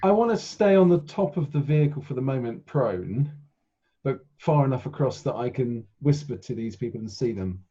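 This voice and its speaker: Male, mellow relaxed tone, casual tabletop player, dull lo-fi recording. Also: mid-range voice, normal speed, slightly rough. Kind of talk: casual game chat.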